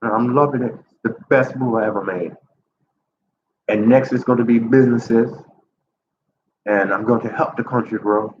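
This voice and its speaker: A man's voice: medium pitch, fairly even intonation, moderate pace, pauses often. A man in his fifties with a stuffy nose from a cold, talking casually to camera in his apartment, upbeat and chatty, his voice jumping up when he makes a point. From Jamaica. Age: 50s. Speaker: vlogger. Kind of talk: casual vlog talk to camera. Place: apartment indoors. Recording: roomy lo-fi audio with ambient noise.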